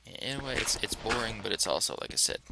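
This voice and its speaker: A man casually talking to himself as he plays a game, rambling in a relaxed way. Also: on the low side, fast, gravelly, very noisy. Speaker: let's play host. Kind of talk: casual gameplay commentary.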